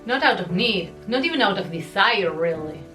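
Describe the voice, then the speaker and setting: A woman's voice: medium pitch, animated, moderate pace, bright, forceful tone, soft background music, low-quality recording. A woman vlogger, not a native English speaker, talking candidly and reflectively about her own habits in a confessional vlog. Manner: candid, reflective, self-deprecating. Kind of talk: personal confessional vlog narration.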